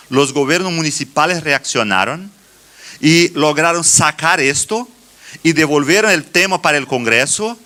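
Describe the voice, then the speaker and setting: A middle-aged man with a Brazilian Portuguese accent giving a church conference address in halting phrases with deliberate pauses. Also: on the high side, bright, forceful tone. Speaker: conference speaker, children's ministry teacher.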